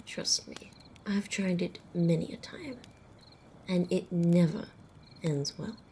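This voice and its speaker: A woman playing a haughty, sardonic female vampire in an ASMR roleplay, speaking softly and slowly, often slipping into a whisper, with a condescending, teasing drawl. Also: lowish voice, a little hiss or hum. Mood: dry, matter-of-fact. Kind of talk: ASMR roleplay acting, close and intimate.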